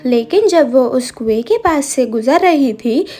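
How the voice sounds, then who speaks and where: A woman's voice: sits high, expressive, a little slow, warm tone. A woman narrating a scripted cartoon story as the storyteller.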